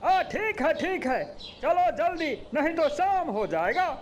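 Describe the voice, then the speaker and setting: High, whiny animal sidekick. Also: male voice, very animated, measured pace, a little hiss or hum, heavily acted. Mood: resigned. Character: put-upon, grumbling small male jungle animal sidekick. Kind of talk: Hindi animal cartoon dialogue.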